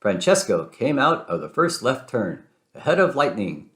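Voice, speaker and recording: A man narrating a children's storybook aloud in an expressive read-aloud style. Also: mid-range voice, normal speed. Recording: roomy, echoing sound.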